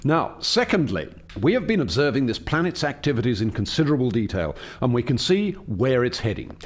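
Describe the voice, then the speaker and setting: A man plays an authoritative alien scientist heading a lab in a radio drama. His formal, lecturing delivery is measured in its wording, with lively swings of pitch that stress each point. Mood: grave, concerned. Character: Doctor Foster, authoritative male alien scientist leading a lab. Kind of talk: radio play acting.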